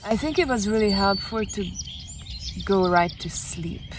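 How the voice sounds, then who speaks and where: A woman from Hungary speaking slowly and gently, pausing often as she reflects, recorded outdoors by a lake. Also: medium pitch, expressive, noisy background. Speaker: retreat guest sharing her experience. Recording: outdoor audio with clear birdsong. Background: birdsong.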